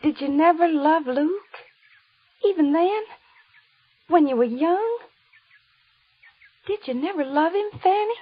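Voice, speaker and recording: An actress playing a gentle, pregnant young washerwoman in an old radio drama, speaking in an earnest, pleading way. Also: very high-pitched, slow pace, pauses often. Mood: gentle, sorry. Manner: soft-hearted, pleading, earnest. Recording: dull, lo-fi old radio recording.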